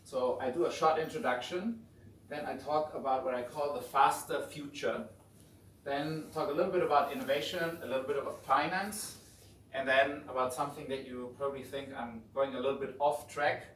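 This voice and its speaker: A man with a German accent lecturing in an easygoing, informal way, often restarting his sentences. His voice sits at a middle pitch and sounds a little distant from the mic. The hotel meeting room adds a roomy echo over a faint hiss. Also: moderate pace. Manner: relaxed, informal, frequent restarts. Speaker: guest lecturer. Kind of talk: conversational lecture.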